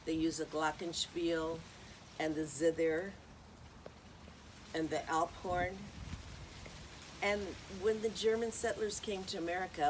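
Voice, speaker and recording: A woman's voice: deep voice, background noise. A woman hosting an informal talk on music history, rambling and chatty with many ums and uhs. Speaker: host.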